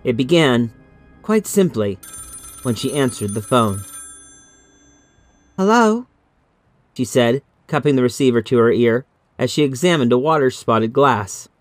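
A man's voice: medium pitch, moderate pace, dry recording, faint music behind. A man reading a scripted horror story as an audiobook narrator.

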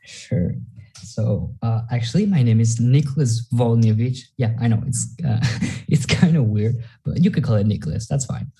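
Dark, husky-voiced young man. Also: medium pitch, lively intonation, poor audio. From Mexico. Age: young adult. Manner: halting, many fillers and "actually". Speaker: podcast host. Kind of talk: casual podcast chat.

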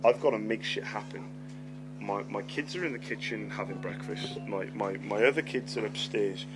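A man's voice: on the low side, normal speed, heavy background noise. A middle-aged man, a lisp and a stammer, telling a story on his podcast, swearing freely and repeating words. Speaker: podcast host. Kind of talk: storytelling monologue. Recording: loud music behind voice.

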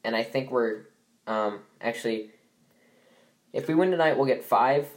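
Young man, stuffy nose, casual podcast host, rambling with lots of ums, mid-pitched voice. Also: a little slow. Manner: rambling, many fillers and restarts. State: stuffy from allergies. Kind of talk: casual sports podcast chat.

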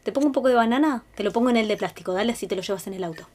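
A woman's voice: fairly high pitch, very fast, a little mellow, lightly acted. An actress playing an angry, sarcastic middle-aged wife and mother with a Buenos Aires accent, in naturalistic audio drama dialogue. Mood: restrained. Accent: Argentine, Buenos Aires area. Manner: curt, sarcastic.

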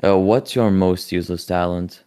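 A man's voice: sits low, fairly even intonation, normal speed, warm tone, smooth. A man hosting a podcast, asking questions in a laid-back, chatty way with casual slang. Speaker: podcast host.

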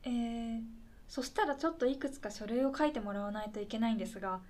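A woman's voice: a little high, quick pace, a little mellow, natural voice. A woman acting in a conversational radio drama as a polite welfare caseworker, patient, reassuring and a little tentative. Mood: polite, professional.

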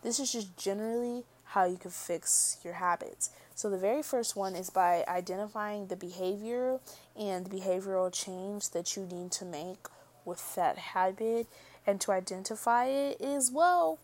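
A young woman talking casually to her viewers in a soft, slightly mumbled voice. She rambles, restarts her sentences and leans on filler words as she goes. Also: medium pitch, moderate pace. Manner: rambling, full of likes and restarts; soft-spoken, tends to mumble. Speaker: vlogger. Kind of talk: casual monologue to camera.

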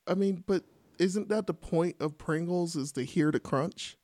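A woman's voice: fairly low pitch, unhurried, mellow. A woman co-hosting a livestream podcast in casual, playful banter, acting out little quotes as she goes.

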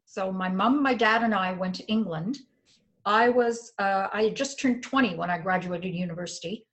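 An older woman from Canada with a Canadian accent, a guest on a podcast, telling stories from her past in a relaxed conversational way and remembering dates precisely. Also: mid-range voice, normal speed. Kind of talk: casual conversation.

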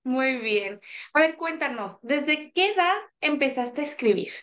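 High-voiced Mexican woman hosting a podcast. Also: animated, measured pace, a bit bright. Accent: Mexican Spanish. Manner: upbeat, enthusiastic exclamations. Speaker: podcast host. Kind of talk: casual interview chat.

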